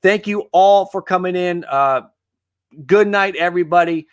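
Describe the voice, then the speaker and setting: A man's voice: high-pitched, normal speed, clear, resonant tone. A man in his thirties hosting a late-night live stream, chatting casually and rambling with many fillers, tired and losing his voice. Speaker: host of a live sports stream. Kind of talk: casual live-stream chat reading viewer comments.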